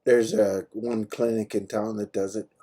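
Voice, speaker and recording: A man's voice: fairly low pitch, little pitch movement, moderate pace, mellow. A man with an American accent chatting casually on a phone call in a laid-back, matter-of-fact way, in short plain sentences. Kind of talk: casual personal phone chat.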